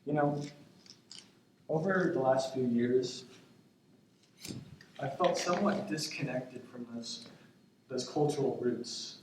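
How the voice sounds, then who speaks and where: A man's voice: mid-range voice, slow, long pauses, close to an everyday voice. A man acting a tender grandson in his twenties in a stage monologue, confiding in his departed grandmother in a reflective, hesitant, conversational way. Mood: vulnerable, hesitant. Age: 20s. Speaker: stage actor in a student play. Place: indoor stage, recorded from afar. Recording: echoey, distant stage recording with noise.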